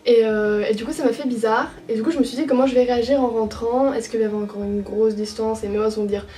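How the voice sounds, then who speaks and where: A woman's voice: fairly high pitch, fairly even intonation, fast, dark tone, recorded from a distance. A young woman from France talking through her experience as an au pair in a casual, reflective way, with frequent hesitations and restarts. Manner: hesitant, many fillers and restarts. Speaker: au pair vlogger.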